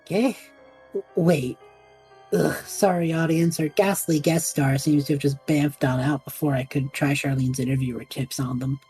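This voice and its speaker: A woman playing a cocky, cheeky young male adventurer who talks in a flippant, chatty, excitable way in a fantasy audio drama. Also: deep voice, normal speed, husky, soft background music.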